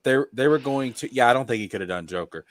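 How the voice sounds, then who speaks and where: A man's voice: medium pitch, lively intonation, fast talker, dry recording, clean studio sound. A man in a casual discussion with friends, talking emphatically and restating his points as he gives his opinions. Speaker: podcast panelist.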